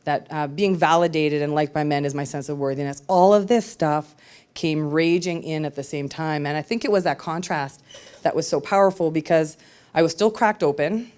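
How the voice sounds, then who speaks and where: A woman's voice: low voice, normal speed, a little mellow. Candid woman telling her story on stage. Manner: candid, reflective, with frequent hesitations. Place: live storytelling event with audience.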